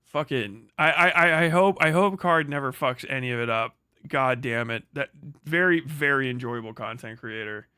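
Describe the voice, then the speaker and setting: A man's voice: medium pitch, normal speed, bright, forceful tone, clean tone, dry recording, studio quality. A man livestreaming a casual, rambling rant, full of profanity and slang, often stammering over repeated words. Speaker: live streamer, online commentator.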